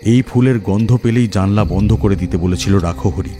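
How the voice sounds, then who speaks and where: A man's voice: fairly low pitch, moderate pace. A man narrating a first-person horror story in a dramatic style. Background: background music.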